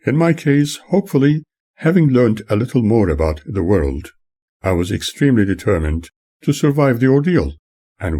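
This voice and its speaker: A man reading his own memoir as scripted audiobook narration, in a formal, emphatic and deliberate manner. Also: on the low side, mellow, breathy edge.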